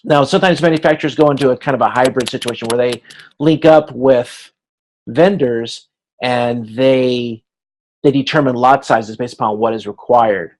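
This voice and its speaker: A man teaching an informal online class, explaining step by step in a halting way and voicing hypothetical exchanges as examples. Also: mid-range voice, rough recording. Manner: explanatory, halting, checks understanding, acts out hypothetical dialogue. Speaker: instructor. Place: video conference class.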